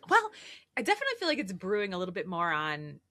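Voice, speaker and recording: Lady podcast host, super animated and bright, playful, just chatting. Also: fairly low pitch, fast. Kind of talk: casual chat.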